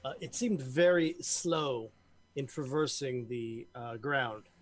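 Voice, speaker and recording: A man's voice: medium pitch, slow. A man playing a tabletop game, reasoning aloud hesitantly with fillers. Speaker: tabletop player in character. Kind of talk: casual roleplay chat.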